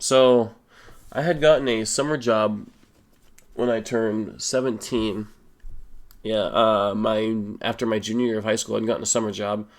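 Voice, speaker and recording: Young man, stuffy, lowish voice. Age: young adult. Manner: relaxed, rambling, many fillers. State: stuffy nose, a little sick. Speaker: gaming YouTuber. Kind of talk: casual Q and A voice-over.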